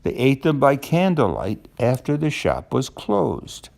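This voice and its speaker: Older male storyteller, creaky voice, lively intonation, children's book with simple explanatory asides. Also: medium pitch, unhurried.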